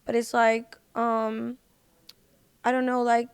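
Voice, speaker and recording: A woman's voice: fairly high pitch, monotone, slow pace, clear, resonant tone. A woman guest on a podcast, chatting casually and informally, with lots of "like" and "you know". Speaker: podcast guest, singer and social media personality. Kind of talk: casual chat.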